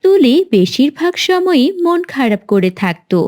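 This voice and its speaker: A woman's voice: high-pitched, big pitch swings, moderate pace, darkish tone. A woman narrating a scripted children's story as its storyteller.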